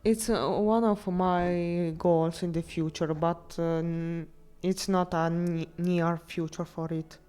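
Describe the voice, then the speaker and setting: A woman streamer chatting casually with her viewers, speaking halting non-native English with frequent restarts while she searches for words. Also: lowish voice, steady tone, mellow, clean tone. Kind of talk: casual live-stream chat.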